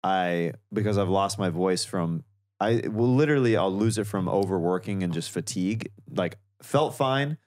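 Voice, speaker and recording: A man guest on a comedy podcast, chatting casually and telling stories that jump up in pitch when he quotes people. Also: moderate pace. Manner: animated storyteller, acts out quotes in a higher voice.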